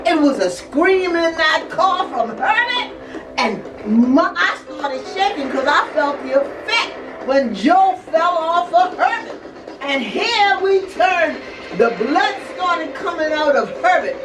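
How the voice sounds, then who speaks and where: Animated older woman storyteller, slow and roomy. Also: very high-pitched, heavy background noise. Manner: dramatic, repeats words and phrases. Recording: roomy echo, distant mic, music behind.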